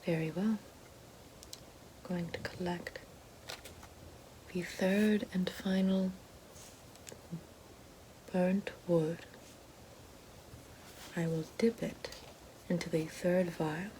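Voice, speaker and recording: A woman playing a regal, menacing evil queen witch in an ASMR fantasy roleplay, speaking slowly and formally in a soft, breathy, often whispered voice. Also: fairly low pitch, steady tone, a little hiss or hum, poor audio. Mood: ceremonious. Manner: slow, deliberate, formal.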